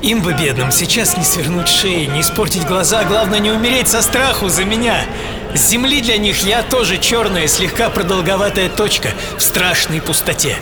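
An actor playing a daring, exhilarated 25-year-old tightrope walker in a theatrical first-person radio monologue, moving between hushed pauses and fervent intensity. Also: male voice, high voice, natural voice. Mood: wry elation. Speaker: actor and author of the programme. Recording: studio voice under loud music. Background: loud dramatic music.

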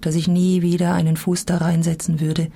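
A woman's voice: lowish voice, monotone, moderate pace, vocal fry, warm tone. An actress playing an embittered woman who left a sect, giving dry, sardonic, matter-of-fact testimony in a radio drama. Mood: flatly hurt.

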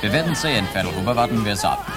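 A man playing an excitable TV football commentator in a radio drama, following the play as it happens. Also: fairly low pitch, quick pace, over loud music, over a noisy crowd, lightly acted. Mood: keen, measured. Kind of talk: live sports commentary in a satirical radio drama.

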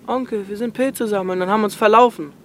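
Radio drama: curt adult smuggler, instructing, voice on the higher side, faint ambient noise. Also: male voice, quick pace, a bit bright. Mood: curt, insistent. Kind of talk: naturalistic radio drama acting.

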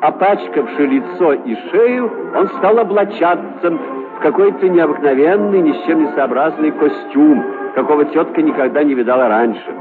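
A man narrating a children's story in an expressive, scripted storytelling style. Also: on the high side, normal speed, narrow, tinny audio, music in the background.

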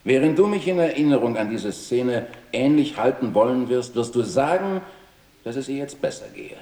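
A man's voice: medium pitch, animated, normal speed, mellow, natural voice. An actor playing a grave man who narrates solemnly in long formal sentences in a literary radio play. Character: grave man foretelling a bereavement scene. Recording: echoey room, distant from microphone.

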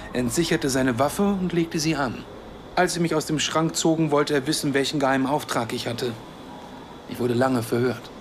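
A man's voice: medium pitch, natural voice. Actor as earnest, wry Palestinian man, noisy ambience. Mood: wry, confessional. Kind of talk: naturalistic German radio drama acting.

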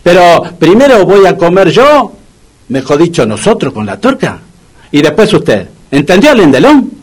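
An Argentine man playing a gloomy, soft-hearted dog owner in a comic radio drama, colloquial and repeating his words. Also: high-pitched, big pitch swings, moderate pace. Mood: mournful, resigned. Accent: Argentine Rioplatense. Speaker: radio comedy actor.

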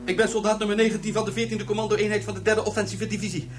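An actor playing a defiant captive commando in a radio drama, curt and reciting drilled phrases. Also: male voice, sits high, flat delivery, very fast, lightly acted. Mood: rigidly defiant. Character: defiant captive commando soldier, stubbornly reciting his rank.

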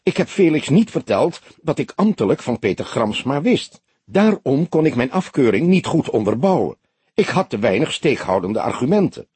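A man voicing a woman civil servant under questioning in an audiobook, soft, careful and halting, defending herself. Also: medium pitch, sing-song, husky, dry recording, voice pushed up. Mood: indignant. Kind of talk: audiobook character dialogue.

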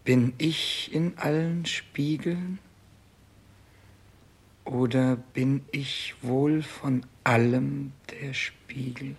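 Dying monarch, husky, very slow, halting, grandiose and bewildered, radio drama declamation. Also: male voice, medium pitch, fairly even intonation, exaggerated voice. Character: dying king, bewildered, self-absorbed and grandiose. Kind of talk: 1960s German radio play acting.

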